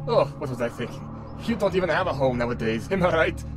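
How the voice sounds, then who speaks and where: Guy acting as snooty villain prince, taunting, highish lively voice, music behind. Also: fast, lightly acted. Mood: mocking, amused. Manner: taunting, theatrical, smug. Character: mocking, haughty enemy prince in a medieval fantasy.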